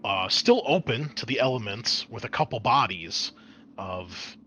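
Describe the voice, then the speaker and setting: A man's voice: fairly low pitch, expressive, a little slow, pushed and bright, slight background noise. A man running a tabletop game as game master, narrating in a casual way with some hesitant phrasing.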